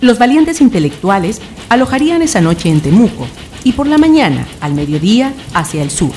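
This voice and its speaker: A woman's voice: medium pitch, big pitch swings, a little fast, a little mellow. A Chilean woman reading scripted narration for a radio drama. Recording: clear background noise.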